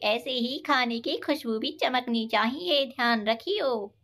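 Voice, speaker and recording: A woman playing a middle-aged village mother who chides and soothes in an animated moral story. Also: a little high, takes it slowly. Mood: teasing.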